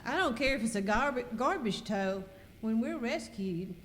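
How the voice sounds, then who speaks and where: A woman's voice: fairly high pitch, expressive, unhurried, a bit bright, long reverb tail. A woman playing an impatient, complaining western outlaw in an amateur live radio skit with a noisy recording. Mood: eager. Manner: complaining, dramatic. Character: exasperated female outlaw Mary, griping and impatient.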